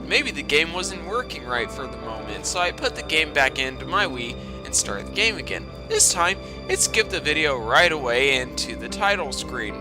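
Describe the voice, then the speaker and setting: A man with a slightly high voice reads a story dramatically and mocks it as he goes. His asides are sarcastic, sweary and exclamatory, and video game music plays clearly behind him. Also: sing-song, normal speed, few pauses. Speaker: storytime narrator. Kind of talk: dramatic reading with mocking commentary.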